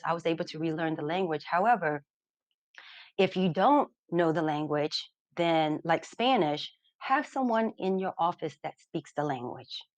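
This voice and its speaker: A woman chiropractor, Vietnamese by first language, talks through practice advice in a low-pitched voice. She stumbles often on fillers and repeated words but stays earnest. The sound is dry and close. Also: dry recording. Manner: many fillers and restarts, earnest and advising. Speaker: chiropractor presenting. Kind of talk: unscripted webinar talk.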